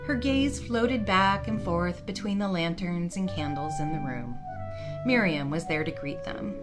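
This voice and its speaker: Female storyteller for a fantasy fiction podcast, slightly low voice, instrumental music clearly underneath. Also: lively intonation, unhurried. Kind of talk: scripted story narration with character dialogue. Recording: music behind the voice.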